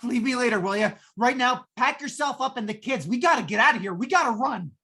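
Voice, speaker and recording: Husky, frantic working guy, played by a woman. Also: fairly high pitch, animated, a little fast, fairly bright tone. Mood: frazzled. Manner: stammering, flustered. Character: frantic, hapless working man, henpecked and stammering. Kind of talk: old-time radio drama acting.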